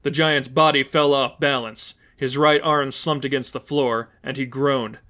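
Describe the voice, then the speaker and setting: A man's voice: mid-range voice, fairly even intonation, measured pace, bright, forceful tone. A man reading as narrator, delivering scripted fiction in a storytelling style.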